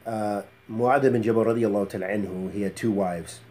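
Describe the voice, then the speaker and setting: A middle-aged man speaks as an Islamic teacher in a fairly low, very mellow voice. His delivery is measured and reflective, with halting phrasing, as in an informal lecture recorded at a desk in a small study. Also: fairly even intonation, slight background noise. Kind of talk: informal religious lecture with Arabic phrases and quotations. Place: small book-lined study indoors.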